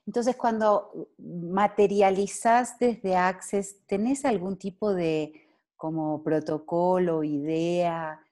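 Woman with Argentine accent, lower voice, warm chatty interviewer, laughs easily. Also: steady tone, takes it slowly. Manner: friendly, laughing, searching for words. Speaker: interviewer, facilitator. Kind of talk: casual video call interview. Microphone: video call.

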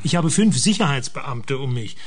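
Man acting middle-aged male minister, sceptical, busy, medium pitch, dry delivery, radio play. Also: animated, normal speed, natural voice. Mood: impatient.

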